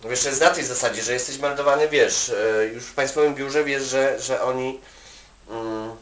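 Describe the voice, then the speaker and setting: A man's voice: medium pitch, steady tone, moderate pace, fairly bright tone, a little hiss or hum. A man from Poland chatting casually on the phone, giving advice in a rambling, colloquial way full of "you know" tags. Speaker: Polish migrant worker advising an acquaintance. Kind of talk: casual one-sided phone chat. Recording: echoey room, distant from the mic.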